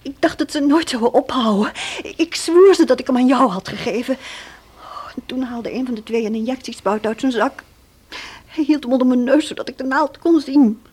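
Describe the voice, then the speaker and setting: An actress playing a shaken, frightened woman haltingly recounting an ordeal in a Dutch radio play. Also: high-pitched, darkish tone, husky.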